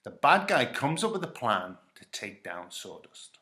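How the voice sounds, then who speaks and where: Male story reader, bright forceful tone, lively pitch movement, dramatic children's narration. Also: measured pace.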